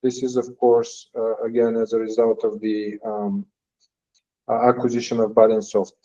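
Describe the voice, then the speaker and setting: A man presenting financial results as a company executive on an earnings call, in a halting, hesitant delivery with frequent pauses. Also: medium pitch, little pitch movement, warm tone. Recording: dull, lo-fi call audio.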